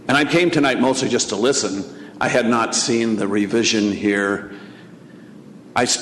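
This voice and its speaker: A man giving a hesitant, measured public comment at a podium microphone in a council chamber. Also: lowish voice, a little hiss or hum. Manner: hesitant, measured, plain-spoken. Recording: echoey council chamber with long reverb.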